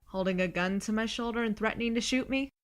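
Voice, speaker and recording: A woman's voice: fairly high pitch, quick pace, close to an everyday voice. A woman playing a sarcastic, blunt, reluctant young space traveller in an audio drama. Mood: incredulous. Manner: snarky, exasperated, blunt. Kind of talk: audio drama acting and narration.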